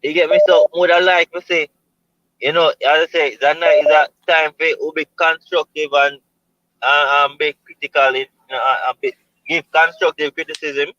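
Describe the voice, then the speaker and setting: A Jamaican man talks football over a call, his voice pitched a little high and bright with some edge. The line is dry and dull, like lo-fi call audio. He speaks in a measured way, stopping and restarting. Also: fairly even intonation, no room sound. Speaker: caller. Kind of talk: casual football talk.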